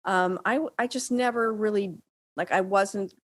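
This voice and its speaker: A woman's voice: medium pitch, moderate pace. A middle-aged woman co-hosting a podcast in casual conversation, searching for words and often checking in with her listener. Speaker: podcast co-host and writing teacher.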